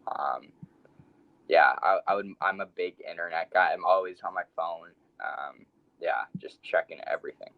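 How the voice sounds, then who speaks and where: Young male podcast co-host, low pitch, lo-fi dry sound, hesitant and laughing. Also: normal speed, gravelly, dry recording. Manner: laughs often, hesitant with many ums. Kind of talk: casual sports podcast chat. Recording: dull, dry podcast audio.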